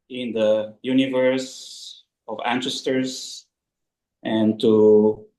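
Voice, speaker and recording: Man from Romania on a group call, slow and halting, lots of fillers, roomy echo. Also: mid-range voice, darkish tone. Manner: halting, many fillers and pauses. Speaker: call participant.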